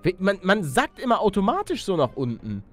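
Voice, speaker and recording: A man doing excitable let's play commentary, exclamatory and slangy, talking to viewers and himself. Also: high-pitched, a little fast, faint music behind. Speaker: gaming YouTuber and let's player.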